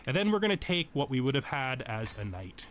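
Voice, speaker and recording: A man's voice: mid-range voice, sing-song, brisk, fairly bright tone, a little hiss or hum. A man giving casual let's play tutorial commentary, explaining game mechanics and thinking aloud as he goes.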